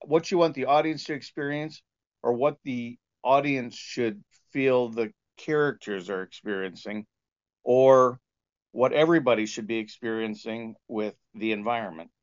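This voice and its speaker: A man speaking as a composer and sound engineer, explaining his approach in a halting, informal interview manner. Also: medium pitch, smooth. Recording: dull lo-fi audio.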